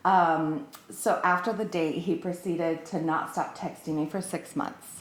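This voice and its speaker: A woman's voice: lowish voice, fairly even intonation, a little slow. Chatty woman in an echoey room. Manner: chatty, informal, peppers speech with "like". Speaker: podcast guest, hairdresser. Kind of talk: casual chat, telling dating stories. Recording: roomy, echoing room sound.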